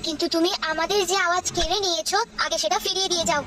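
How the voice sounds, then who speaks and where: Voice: very high-pitched, fairly even intonation, rapid-fire. An actor voicing a plucky, bossy little boy hero in a cartoon, earnest and exclamatory. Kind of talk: dubbed cartoon acting. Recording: loud music behind the voice. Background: loud cartoon music.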